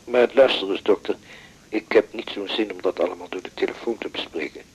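A man's voice: low-pitched, brisk, slightly rough, poor audio. An actor playing a reserved male patient, hesitant, formal and politely insistent, in a naturalistic radio drama. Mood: awkward, insistent.

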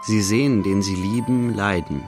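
An actor playing the narrator of a literary radio play, telling an artist's day in measured, short phrases. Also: male voice, fairly low pitch, music in the background, close to an everyday voice. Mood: measured narrating. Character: narrator recounting an artist's day in present tense.

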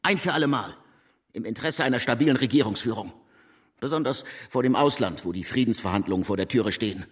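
An actor playing a wary, shrewd head of government in a German radio drama, sceptical and dry in manner. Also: male voice, mid-range voice, animated, fast talker, slightly rough. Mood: stubborn.

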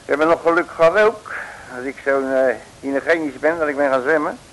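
Male actor as grumpy comic detective, high voice, scolding, excitable, Netherlands Dutch, old-time radio serial acting, vintage recording. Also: brisk, lightly acted, low-quality recording. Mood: self-important. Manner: scolding, blustering, colourful mock curses.

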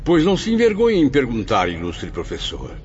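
A man playing a courteous, gently teasing spirit companion in a radio drama. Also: mid-range voice, huge pitch swings, normal speed. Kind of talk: scripted radio drama acting. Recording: dull, faintly noisy radio audio.

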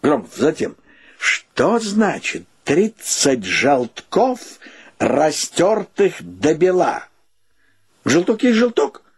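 A man's voice: fairly high pitch, very animated, slightly rough, exaggerated voice. A male actor playing a stubborn, argumentative bachelor with mock-solemn, pedantic delivery in a radio play. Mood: pedantic, bickering. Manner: theatrical, drawn-out, pedantic. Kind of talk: Russian radio play acting.

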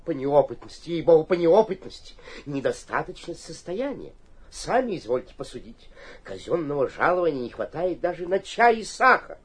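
An actor playing a wily, fawning, middle-aged provincial town governor, corrupt and fearful, with a husky voice in a theatrical radio play. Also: male voice, fairly high pitch, sing-song, moderate pace. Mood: panicked pleading. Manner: fawning, swinging from pleading to sly muttering. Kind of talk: theatrical radio play acting with muttered asides.

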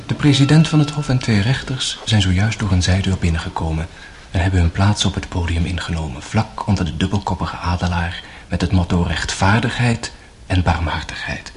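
A man narrating a radio drama as a grave, sorrowful young brother, in measured, literary phrases that sink to a hush. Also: sits low, slight background noise, close to an everyday voice.

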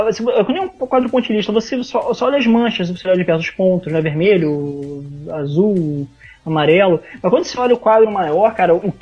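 Husky Brazilian man, dull lo-fi sound. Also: high-pitched. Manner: halting, repeats words emphatically. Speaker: podcast panelist. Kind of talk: casual chat. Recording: dull lo-fi voice track.

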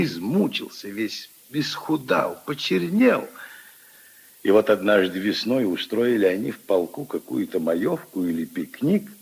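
A man's voice: fairly low pitch, vocal fry, a little mellow, husky, exaggerated voice. An older man playing a kindly, opinionated old grandfather who muses wistfully and emphatically in a 1950s radio drama. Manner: ruminative, emphatic, intimate storytelling to his granddaughter. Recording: 1950s radio play recording.

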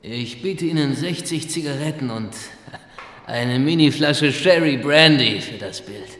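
A male asylum warder played by an actor in a radio drama, speaking very slowly in a reverberant hall. He bargains with deliberate weight, his medium-pitched voice echoing around him. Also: a bit bright, close to an everyday voice. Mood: impressed, bargaining. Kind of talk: German radio drama acting.

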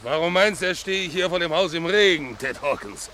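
An actor in a 1950s German radio play, playing a coarse, obsequious tavern keeper who blusters and fawns. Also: male voice, a little high, expressive, normal speed, pushed and bright. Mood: blustering, irritable. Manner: blustering with equals, servile with betters.

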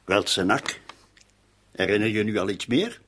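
An actor playing a dogged, sarcastic police inspector in a radio drama, husky and blustering. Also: male voice, fairly low pitch, normal speed, fairly bright tone, a little hiss or hum, close to an everyday voice. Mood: sardonic pressure. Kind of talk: radio drama acting in dialogue.

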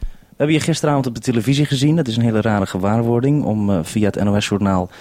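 A man's voice: mid-range voice, quick pace, dark tone, no room sound. A man interviewing someone live on the radio in a conversational way, putting questions to a guest at a distance. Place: radio base talking to a remote guest.

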